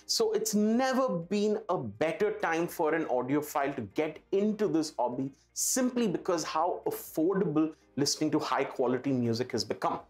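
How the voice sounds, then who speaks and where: A man with an Indian accent presents a product as if talking straight to viewers. His delivery is keen and emphatic, leaning on key words. Soft music plays faintly underneath his voice. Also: fairly high pitch, animated, normal speed, few pauses. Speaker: presenter for an audio retailer. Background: background music.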